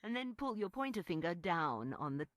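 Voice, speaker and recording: Lively, chatty quirky woman. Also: on the low side, moderate pace, dry recording. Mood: helpful, chatty. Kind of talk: video game character acting.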